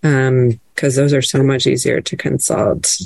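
A woman's voice: very deep voice, fairly even intonation, measured pace, gravelly, darkish tone, no room sound. A woman librarian presenting casually on an online call, chatty, with frequent fillers and restarts.

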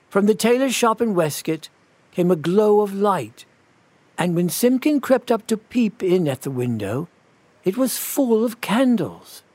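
A man reading a children's storybook as a scripted narration, in an expressive, measured storytelling manner. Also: high-pitched, no echo.

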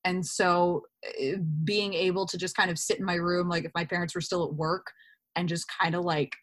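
A woman, an actor being interviewed, talking in a casual, animated chat. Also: lowish voice, normal speed.

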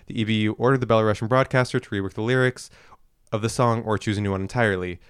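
A man co-hosts a casual podcast, reading from notes and then chatting with plenty of fillers and restarts. His voice sits a little low and comes through smooth and clear. The sound is dry and close to the mic. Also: steady tone, rapid-fire, no echo. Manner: hesitant, many fillers and restarts. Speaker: podcast co-host.

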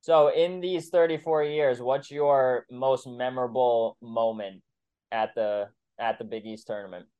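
A man's voice: medium pitch, fairly even intonation, a little slow, warm tone, clean tone, highs cut off. A man hosting a casual podcast interview, putting questions to a guest. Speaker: podcast host asking questions.

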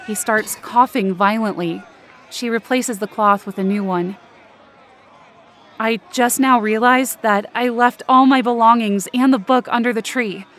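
Woman playing anxious female survivor, higher voice, urgent dramatic narration, audio drama, outdoor noise and crowd behind. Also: unhurried, a bit bright. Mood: uneasy, watchful. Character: anxious, desperate woman narrating her own survival ordeal. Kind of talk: first-person present-tense audio drama narration. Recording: noisy outdoor crowd sound effects behind.

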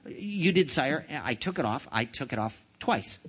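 Young storybook commoner, voiced by a man: polite, timid, bewildered, hesitant, with lively pitch. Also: a little slow, breathy edge, heavily acted.

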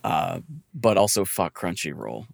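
A man co-hosting a podcast in casual chat, talking in a halting, rambling and blunt way. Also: low voice, sing-song, gravelly, dry recording, studio quality. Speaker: podcast co-host.

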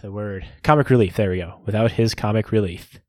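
A man's voice: fairly low pitch, a little fast, warm tone, no echo, clean studio sound. A man hosting a podcast in a casual, joking way. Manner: laid-back, joking, searches for words. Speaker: podcast host.

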